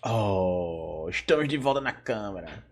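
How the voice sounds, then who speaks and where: A man's voice: on the low side, lively intonation, moderate pace. A Brazilian man giving casual, playful Let's Play commentary with informal reactions. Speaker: gaming YouTuber.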